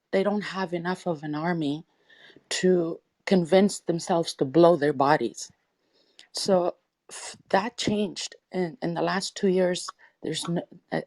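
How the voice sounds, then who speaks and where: A woman's voice: fairly low pitch, creaky, darkish tone, no room sound. A woman born in Afghanistan and raised in the United States, speaking earnestly and urgently as a panelist in a casual audio chat room.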